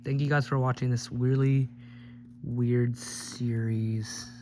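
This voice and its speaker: A man's voice: mid-range voice, flat delivery, slow, warm tone. A man hosting a teardown video with casual, rambling commentary and offhand joking remarks as he works. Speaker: host of a gadget teardown video. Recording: clearly noisy recording with hum.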